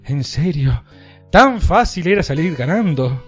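An Argentine man playing a smug, unflappable aristocrat with a secret cause in a comic radio play, wry and deadpan. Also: fairly high pitch, unhurried, soft background music. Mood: cocky.

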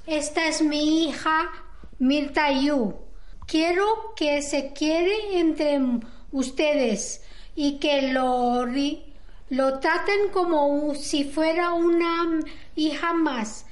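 Mujer interpretando a una diosa solemne, muy lenta. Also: female voice, high voice. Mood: solemn. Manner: halting, deliberate, stumbles on words. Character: solemn jungle goddess, protective mother. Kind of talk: amateur radio drama acting.

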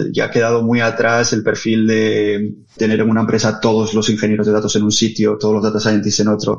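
A man's voice: lowish voice, highs cut off. A man speaking as a tech company guest in a podcast interview, explaining at length with frequent "eh" fillers and "¿vale?" checks.

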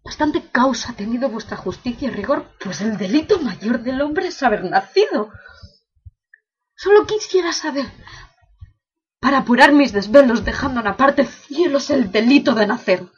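A woman's voice: sits high, expressive, normal speed, breathy edge, highs cut off, heavily acted. A woman playing an anguished imprisoned prince in a declamatory, impassioned classical verse monologue, bitterly questioning heaven. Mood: anguished lament, then indignant questioning.

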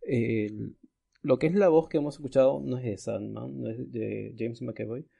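A man's voice: medium pitch, moderate pace, gravelly, dark tone, husky. A man co-hosting a podcast in casual chat, often repeating and rephrasing what he says. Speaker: podcast co-host.

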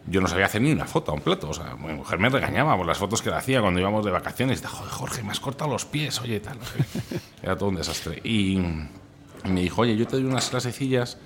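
Very deep-voiced Spanish man. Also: expressive, quick pace, a little hiss or hum. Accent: Spanish from Spain. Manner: candid, colloquial, chatty. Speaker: interview guest, food recommendation influencer. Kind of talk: casual interview chat, anecdotes.

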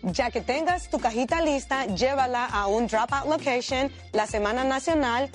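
A woman's voice: sits high, moderate pace. A woman from Honduras, presenting a scripted instructional charity video. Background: background music.

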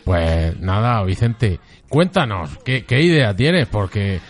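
A man's voice: fairly low pitch, big pitch swings, takes it slowly. A man hosting a local radio show, chatting casually with a guest in a dry, teasing way. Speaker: radio host.

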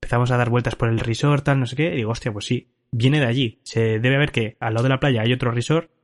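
A man's voice: mid-range voice, steady tone, fast. A man hosting a casual podcast, telling a drunken travel anecdote with frequent laughs and swearing. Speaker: podcast host. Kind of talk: casual chat, storytelling.

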